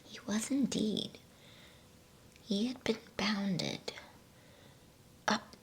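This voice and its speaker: Slow whispering woman reading ASMR bedtime stories. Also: on the low side, a little hiss or hum. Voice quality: breathy unvoiced whisper. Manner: gentle, slow, hushed delivery.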